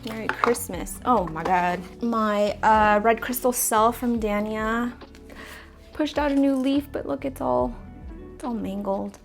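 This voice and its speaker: Woman, stuffy nose, chatty and rambling, self-correcting, houseplant vlog, soft music behind. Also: a little high, unhurried, vocal fry. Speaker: plant vlogger. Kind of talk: casual chat while rearranging plants. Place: apartment plant room.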